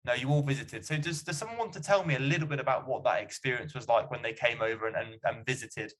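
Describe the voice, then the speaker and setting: Guy hosting a student q&a, talks quick, encouraging and chatty. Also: mid-range voice, fairly bright tone. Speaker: panel host. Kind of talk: hosting an online student Q&A panel.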